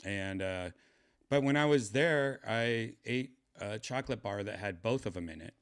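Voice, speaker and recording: Low-voiced rambling man in his fifties. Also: moderate pace, clean tone. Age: 50s. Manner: meandering, trails off, many fillers and restarts. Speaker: livestream host. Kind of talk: rambling casual livestream chat.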